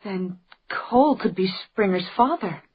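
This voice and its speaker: A woman's voice: mid-range voice, lively intonation, a little slow, breathy edge. Intense, stubborn woman, muffled recording. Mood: anxious, eager. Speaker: actress in a detective radio drama. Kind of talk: radio drama acting. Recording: dull, faintly noisy radio drama recording.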